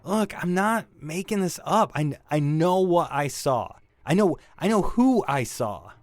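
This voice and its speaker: An actor playing a shaken young male robbery suspect in an audio drama, defensive and grieving, halting and stammering as he talks. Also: a little high, expressive, dry recording. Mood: desperate insistence. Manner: halting, stammering, repeating himself. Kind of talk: naturalistic audio drama acting.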